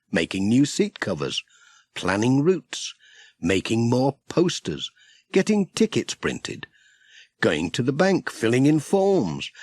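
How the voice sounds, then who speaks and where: A man's voice: mid-range voice, a little mellow, dry recording. A man with a British accent reading a children's story as a scripted audiobook narrator.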